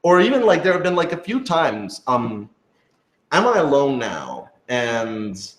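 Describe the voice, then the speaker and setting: A man answering questions in a casual discussion, thinking aloud with halting, hedged phrasing. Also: mid-range voice, huge pitch swings. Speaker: podcast creator and writer reading fan questions. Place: indoor room with echo. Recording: roomy, echoing room sound.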